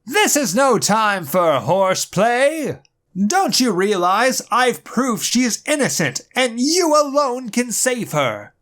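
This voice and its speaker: A man voicing a calm, forceful male superhero in a comic dub, speaking in a firm, measured, commanding way. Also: very high-pitched, pitched above the performer's own voice, full character voice. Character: stern heroic male strongman, calm and forceful.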